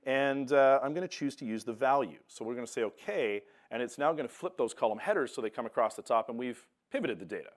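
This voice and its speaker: A man giving a lively, joking live software demonstration on a conference stage. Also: medium pitch, fast, hardly any pauses. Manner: upbeat, joking, talks while clicking through steps. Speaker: presenter. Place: large conference hall stage. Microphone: lapel mic, cutting in and out at first. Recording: roomy distant hall sound, noisy.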